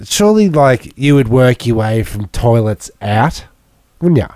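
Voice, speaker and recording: A man's voice: medium pitch, measured pace, no room sound, studio quality. A man, co-hosting a podcast in casual, jokey chat that rambles along. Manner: animated, chatty, jokey, rambling. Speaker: podcast co-host.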